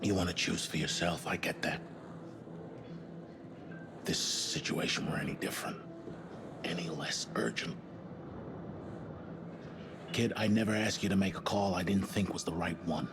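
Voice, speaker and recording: An actor playing a protective spaceship commander in a sci-fi game, speaking in a firm, earnest, measured way. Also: male voice, deep voice, noisy background. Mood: hushed, earnest. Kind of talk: cinematic game drama acting. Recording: loud music behind the voice.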